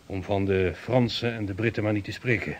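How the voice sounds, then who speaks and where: An actor playing a weighty, deliberate statesman in a Dutch radio drama, formal and emphatic in his old-world rhetoric. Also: on the low side, fairly even intonation, natural voice. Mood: grave, emphatic.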